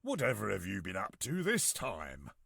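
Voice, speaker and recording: A man's voice: lowish voice, wildly swooping pitch, moderate pace, gravelly, a bit bright, breathy edge, no echo, clean studio sound, voice pushed down, heavily acted. A man voicing a stern, disappointed woodman father in a fairy tale, formal and reproving. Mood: weary, disappointed.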